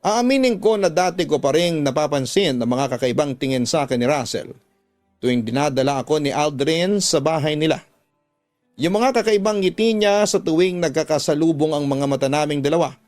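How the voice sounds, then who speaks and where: A man's voice: fairly high pitch, brisk, darkish tone. A man reading a first-person story as a scripted radio drama narration. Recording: background music under the voice.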